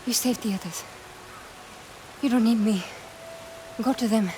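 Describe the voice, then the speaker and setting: A woman's voice: on the high side, measured pace, dark tone, lightly acted, low-quality recording. Woman acting a defiant young adventurer heroine, faint noise. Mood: earnest, regretful. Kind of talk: video game cutscene acting.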